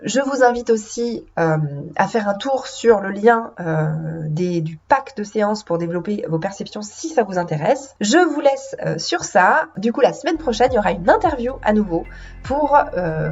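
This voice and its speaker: A woman's voice: medium pitch, lively intonation, normal speed, darkish tone, soft background music. A French woman with a cold, hosting her podcast alone and talking at length with many fillers and restarts. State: has a cold. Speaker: podcast host and intuition coach. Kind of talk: solo podcast monologue. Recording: dull lo-fi podcast audio.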